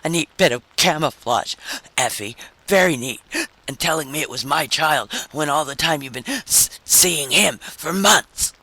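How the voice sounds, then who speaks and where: A man's voice: lively intonation, measured pace, full character voice. A man voicing an enraged, jealous husband with a nasal, gasping voice, ranting in short phrases in a vintage radio science fiction drama. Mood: hysterical. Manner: shrill, screechy, rising to yells. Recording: noisy vintage radio recording.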